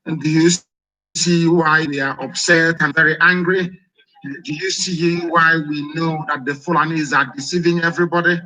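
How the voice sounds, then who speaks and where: Nigerian man, high pitch, very bright tone, impassioned, hammering rhetorical questions. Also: steady tone, moderate pace, rough recording. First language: Igbo. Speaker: broadcaster. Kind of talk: live broadcast monologue.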